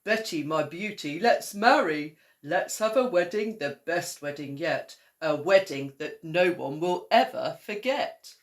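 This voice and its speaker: A woman voicing an earnest, loving male scarecrow bridegroom from a storybook, with hearty, deliberate declaiming. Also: fairly low pitch, animated. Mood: loving, eager.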